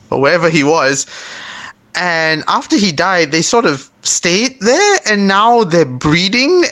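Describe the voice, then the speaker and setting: A man co-hosting a podcast in casual chat, animated and laughing often. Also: on the high side. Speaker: podcast co-host.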